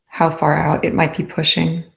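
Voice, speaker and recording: A woman leading a guided meditation in slow, measured phrases with pauses between them. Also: sits low, monotone, vocal fry, a bit bright, lo-fi sound.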